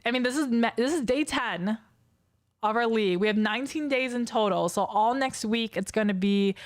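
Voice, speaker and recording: A young woman casting an esports livestream solo, hoarse from losing her voice, chatty and rambling as she reads out scores and viewer chat. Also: on the high side, normal speed, no room sound, clean studio sound. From Wisconsin. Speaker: esports caster.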